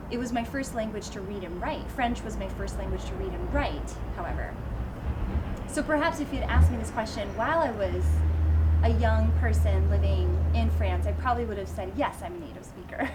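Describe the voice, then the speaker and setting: A woman teacher answering interview questions about her life in a relaxed, thoughtful way, with frequent pauses. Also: mid-range voice. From United States. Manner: thoughtful, with frequent ums and light laughs. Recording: noisy background ambience.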